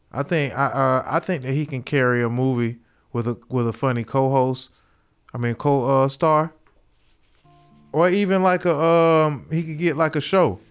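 A man's voice: a little high, smooth. A man in his thirties hosting a podcast from a small home studio, laid-back and chuckling at his own jokes. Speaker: podcast host. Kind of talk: casual commentary. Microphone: studio mic with headphones.

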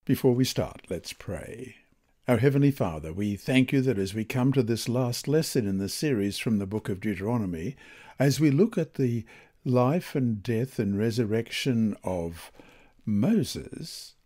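A man reads a scripted Bible lesson and prayer in a measured, reverent manner with deliberate phrasing. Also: medium pitch, lively intonation, mellow. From Australia. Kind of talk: scripted devotional narration and prayer.

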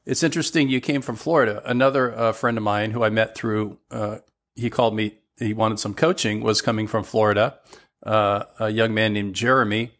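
A man hosting an interview podcast, asking halting questions and giving steady encouraging affirmations. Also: fairly low pitch. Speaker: podcast host. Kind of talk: conversational interview.

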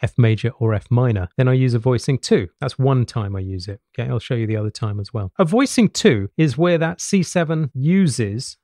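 A man's voice: mid-range voice, animated, brisk, few pauses, warm tone, no room sound. A middle-aged man gives an instructional music lesson, explaining and checking understanding with short tag questions, close to a studio mic. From England. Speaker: jazz piano teacher. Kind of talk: instructional lesson talk with piano demonstrations. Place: small home study with bookshelves. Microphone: large studio mic on boom arm, close. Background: piano playing.

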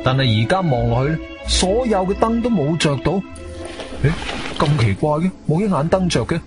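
A man's voice: fairly high pitch, normal speed, close to an everyday voice. A male actor playing an agile, intense adventurer who narrates his own radio drama in suspenseful Cantonese. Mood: suspenseful narrating. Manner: animated, suspenseful storytelling. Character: agile martial-arts-trained adventurer, urgent and intense. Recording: studio radio drama with music behind.

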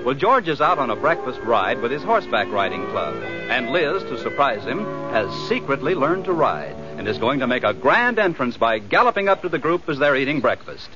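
A male announcer narrates in old-time radio style with lively intonation. Loud music plays behind him, and the recording sounds dull. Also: medium pitch, normal speed. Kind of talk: 1940s radio scripted narration.

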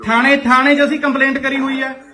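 Punjabi-accented businessman, male, high and fast, agitated and indignant, cutting in repeatedly during a phone dispute. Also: level tone, bright, forceful tone. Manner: indignant, interrupting, repeating phrases. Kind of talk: heated phone argument.

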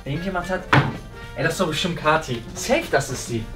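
A man's voice: a little high, expressive, a little fast, over music. A man in his thirties chatting casually and teasingly as co-host of a prank vlog.

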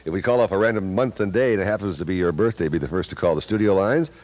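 A man's voice: low-pitched, fast, darkish tone. An American man in his thirties hosting a morning FM radio show with upbeat DJ patter on a close studio microphone. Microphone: close broadcast microphone on boom. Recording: music behind, 1980s radio aircheck.

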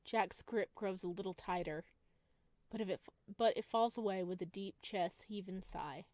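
A woman's voice: mid-range voice, normal speed, darkish tone, dry recording. A woman hosting a gaming video, reading visual novel narration expressively with casual asides.

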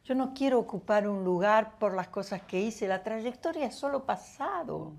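An older woman, a guest actress at a studio lunch table, telling stories with lively energy and repeating words when excited. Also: mid-range voice, moderate pace, gravelly. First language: French. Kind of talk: casual chat at a lunch table. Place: TV studio dining set.

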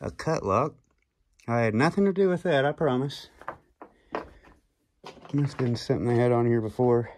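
A man narrates casually while hiking through the woods, a little out of breath. His voice sits at a middle pitch. The handheld outdoor recording carries noticeable noise. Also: normal speed, pauses often, darkish tone. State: out of breath from walking. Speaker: vlogger exploring caves.

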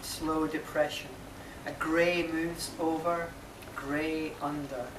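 A man recites poetry very slowly, with pauses between short phrases. He stands well back from the mic in a marquee, so the sound is roomy, with clear background noise. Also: a little high, flat delivery. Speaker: poet reading own work. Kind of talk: slow live poetry recital. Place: inside a marquee at a live reading. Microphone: camera mic a few metres away. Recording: distant, echoey, noisy camera recording.

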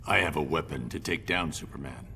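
A man's voice: a little fast, bright, forceful tone, a little hiss or hum, exaggerated voice. An actor playing a grave, calculating male rebel leader in a video game cutscene. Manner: low, clipped and grave. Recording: roomy reverb.

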